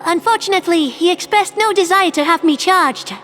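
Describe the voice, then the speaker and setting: Man as a very high-voiced, contrite bird-woman leader. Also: fairly quick, bright, forceful tone, soft background music. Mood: contrite, resolved. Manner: formal, penitent. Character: contrite female avian alien leader, dignified.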